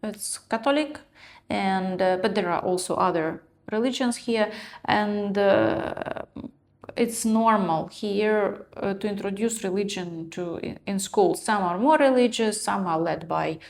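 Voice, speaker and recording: A woman's voice: medium pitch, measured pace, vocal fry. A middle-aged woman speaking casually as an interview guest, recounting her own experience in hesitant phrasing peppered with "you know". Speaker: guest, parent who moved abroad.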